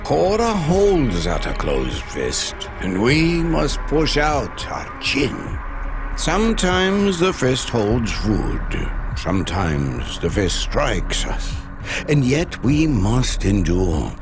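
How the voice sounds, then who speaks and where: An actor playing a devout, weary leader of starving outcasts in a video game, speaking in a solemn, preacherly, measured way. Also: male voice, medium pitch, wildly swooping pitch, music in the background, full character voice. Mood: solemn fervour. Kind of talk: dramatic video game dialogue.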